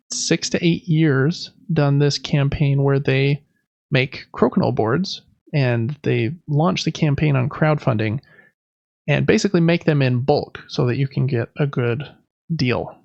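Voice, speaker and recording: A man's voice: medium pitch, measured pace, vocal fry, darkish tone, dry recording, lo-fi sound. A man chatting casually and enthusiastically as a podcast host. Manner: enthusiastic, informal. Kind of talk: casual board game podcast chat.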